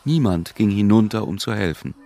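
A man narrating a literary radio drama in a measured, detached storytelling manner. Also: on the low side, expressive, mellow, faint music behind. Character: sober male narrator of a literary tale.

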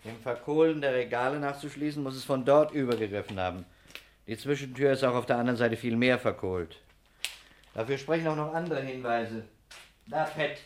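Actor as methodical fire investigator, slightly noisy recording. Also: male voice, mid-range voice, fairly quick, lightly acted. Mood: methodical, absorbed. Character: calm, methodical male fire investigator, busy and slightly absent-minded. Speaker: actor in a radio crime drama. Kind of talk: 1960s German radio play acting. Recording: noisy 1960s radio recording.

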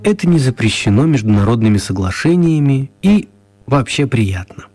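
A man narrating a children's radio story in a measured, expressive way with gentle humour. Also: mid-range voice, slightly rough, faint music behind.

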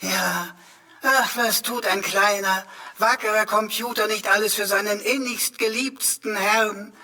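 An actor voicing a vain, chatty little computer sidekick in a radio drama, raspy, florid and theatrical. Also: male voice, high-pitched, fairly even intonation, measured pace, bright, forceful tone, full character voice. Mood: smug, florid. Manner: florid, theatrical, mock-servile.

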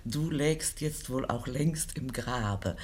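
A woman's voice: extremely low pitch, takes it slowly, darkish tone, slight background noise. A woman playing an elderly provost's wife in a literary radio drama, fondly teasing and firm with a touch of mock complaint. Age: older.

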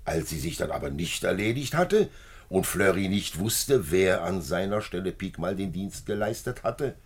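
An actor playing a calm, shrewd police commissioner in a 1950s German-language radio play, measured, patient and matter-of-fact. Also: male voice. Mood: calm, explaining. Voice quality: gravelly, husky low voice.

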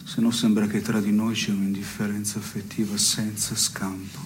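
A man's voice: on the low side, level tone, normal speed, noisy background, lightly acted. An actor playing a pedantic, dejected man who needles his partner in absurdist stage dialogue. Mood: needling. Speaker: stage actor performing a two-person play.

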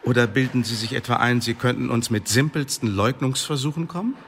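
An actor playing a cold, sardonic Elizabethan secret-service interrogator in a German radio drama, speaking in a pedantic, condescending, formal way. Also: male voice, mid-range voice, brisk, a bit bright, a little hiss or hum, lightly acted. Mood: sardonic, accusing.

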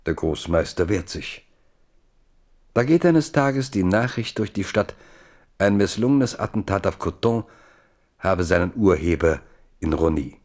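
A solemn chronicler in a radio drama. His voice is deep, and he narrates history deliberately, with a dramatic weight to the phrases. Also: animated, a little mellow. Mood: ominous. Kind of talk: scripted radio play narration.

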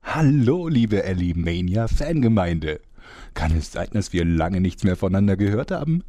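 A man's voice: low-pitched, sing-song, normal speed, noisy background. An actor playing a vain, fed-up male narrator in a comedy audio play, pompous and theatrical. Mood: solemn storytelling. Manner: theatrical, pompous, self-important.

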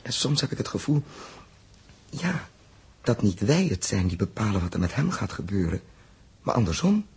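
Very husky, troubled male captain. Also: on the low side, sing-song, heavily acted. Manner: emphatic, halting when perplexed. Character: earnest, troubled spaceship captain puzzling over a mystery. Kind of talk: 1960s Dutch radio drama acting. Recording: rough early 1960s radio recording.

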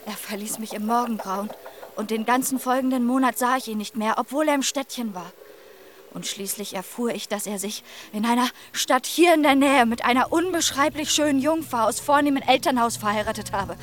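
An actress playing a passionate, eloquent wronged young maiden in a German radio drama. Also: female voice, fairly high pitch, fairly quick, a little hiss or hum, close to an everyday voice. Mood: sorrowful. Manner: eloquent, formal storytelling.

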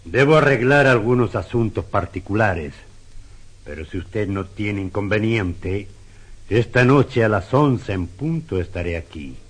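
Sinister, polite screen actor for radio drama: deep, unhurried, measured, reserved. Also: male voice, lively intonation, husky. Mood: cool, courteous. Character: sinister, courteous Hollywood actor who impersonates Satan.

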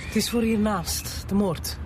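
An actress with a Flemish accent playing a guarded neighbour under police questioning in a radio drama, speaking in clipped sentences. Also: female voice, medium pitch, measured pace, background noise, natural voice. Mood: guarded, flustered.

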